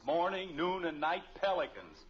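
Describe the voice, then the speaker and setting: A man's voice: fairly high pitch, sing-song, normal speed. A man playing an exasperated, easily flustered middle-aged suburban father in 1950s radio comedy style, sputtering and sarcastic. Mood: exasperated disbelief. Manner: sputtering, sarcastic, long-suffering. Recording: muffled old radio recording, faint hiss.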